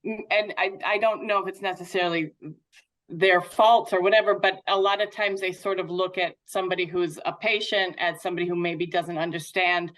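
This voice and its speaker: A woman's voice: lowish voice, steady tone, fairly quick, fairly bright tone. A North American woman speaking as an interview guest, reflecting candidly and informally as she thinks aloud. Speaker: patient advocate guest.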